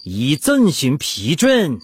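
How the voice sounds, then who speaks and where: Husky, earnest revolutionary delegate. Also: male voice, on the high side, huge pitch swings, no echo, studio quality, heavily acted. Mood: grave, alert. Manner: deliberate, declamatory, serious. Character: earnest, concerned 1920s revolutionary delegate at secret meeting. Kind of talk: Chinese radio drama acting.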